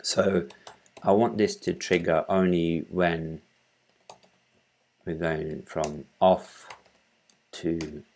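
Low, gravelly man, flat delivery. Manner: halting, thinking aloud while working. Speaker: tutorial presenter. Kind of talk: informal screencast tutorial, explaining step by step. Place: small room at home, indoors.

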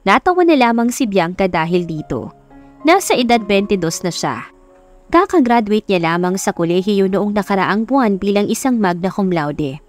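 A woman's voice: mid-range voice, expressive, normal speed. A woman reading a scripted love story as an audiobook narrator. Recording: music under the voice.

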